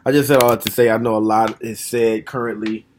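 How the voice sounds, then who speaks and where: A man's voice: fairly low pitch, flat delivery, fairly quick, a little hiss or hum. A man in his thirties talking at home in a casual, reflective monologue to the camera. He is frank and plainspoken, and thinks aloud as he goes. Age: 30s.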